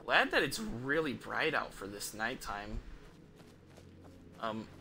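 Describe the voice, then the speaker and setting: A young man giving casual, halting gameplay commentary, muttering to himself as he plays. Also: mid-range voice, sing-song. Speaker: game streamer. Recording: clear background noise, game ambience.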